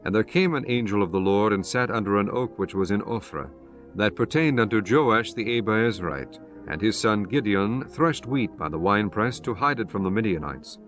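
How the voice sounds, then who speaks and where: Lowish-voiced male scripture narrator. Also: lively intonation, moderate pace, a bit bright, soft background music. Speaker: audiobook narrator.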